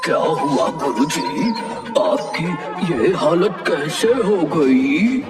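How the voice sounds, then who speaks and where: Casting: put-upon cartoon ghost underling played by a man, highish and swooping, distant over music. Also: expressive, very slow, echoey room. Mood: concerned. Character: beleaguered ghost disciple, male, protesting. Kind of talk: Hindi cartoon dialogue.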